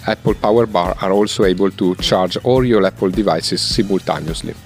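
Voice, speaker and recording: A man's voice: deep voice, normal speed. A man with an Italian accent, an executive and engineer, giving spontaneous interview answers. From Italy. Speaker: company CEO and engineer being interviewed. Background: music.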